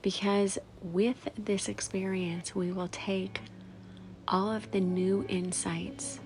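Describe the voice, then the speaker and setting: A woman leading a guided meditation, speaking softly and soothingly in short phrases with long pauses and breathy, whispery endings. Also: medium pitch, little pitch movement, slight background noise, low-quality recording. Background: background music.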